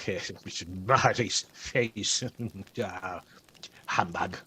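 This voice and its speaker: A man's voice: sits low, very slow, sharp tone, breathy edge, exaggerated voice. An older man playing a crotchety, stubborn old miser in a theatrical radio play, muttering and scoffing in exclamations. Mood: muttering, uneasy.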